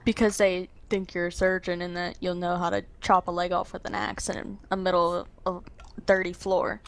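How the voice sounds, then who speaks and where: Low, creaky-voiced woman. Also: little pitch movement, moderate pace. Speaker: player in a group role-play game. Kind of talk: in-character improvised banter.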